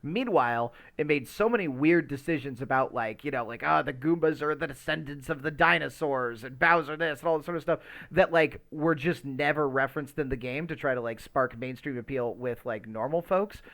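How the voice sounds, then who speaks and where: A man's voice: medium pitch, fairly quick, creaky, hardly any pauses, fairly bright tone. A man talks casually as a podcast co-host, in a mid-range voice. He rambles through his points, often restarting and doubling up words as he searches for the next phrase. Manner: rambling, stammers over repeated words. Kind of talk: casual movie discussion chat.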